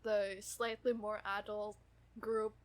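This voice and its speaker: A woman's voice: mid-range voice, level tone, takes it slowly. A woman co-hosting a podcast, chatting casually and gushing as a fan. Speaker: podcast co-host.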